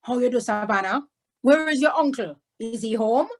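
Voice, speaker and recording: A woman voicing a cross male landlord, brisk and questioning, in a children's storybook. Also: on the high side, lively intonation, voice pushed up. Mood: impatient. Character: cross, annoyed Caribbean landlord, a grown man. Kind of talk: storybook read-aloud.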